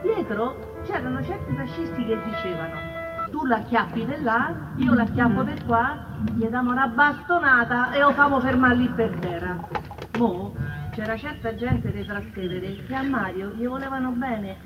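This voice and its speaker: A woman's voice: a little high, animated, normal speed, far from the mic, natural voice. Casting: actress for elderly woman, Roman accent, colloquial testimony for radio theatre; muffled recording with music behind. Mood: storytelling. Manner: colloquial storytelling. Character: elderly Roman woman recalling her anti-fascist family's wartime childhood. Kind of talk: dramatised oral-history testimony in dialect.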